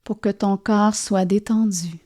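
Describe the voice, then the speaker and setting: A woman guides a yoga nidra relaxation, speaking softly and soothingly with gentle pauses. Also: mid-range voice, fairly even intonation. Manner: soft, soothing, gently pausing. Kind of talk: guided relaxation meditation narration.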